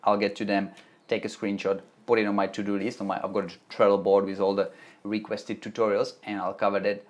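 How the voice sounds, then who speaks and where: A man with a Czech accent and a somewhat low voice answers live chat on his tutorial stream. He reads viewers' questions aloud and talks through them in a loose, chatty way, often circling back to restart a sentence. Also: little pitch movement, a little fast, dull audio. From Czech Republic. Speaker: web development tutorial host. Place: home office, Melbourne.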